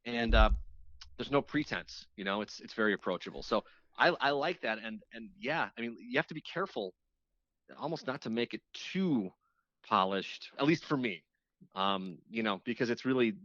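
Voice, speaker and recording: A man's voice: mid-range voice, lively intonation, normal speed, fairly bright tone, dry recording. Man on a dull, dry lo-fi line. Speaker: radio show host appearing as guest. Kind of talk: casual interview chat.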